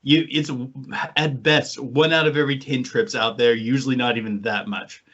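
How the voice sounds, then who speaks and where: A man's voice: mid-range voice, fairly even intonation, moderate pace, fairly bright tone. A man hosting a podcast and talking casually with the others. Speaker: podcast host and paranormal investigator. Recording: slightly muffled, lo-fi audio.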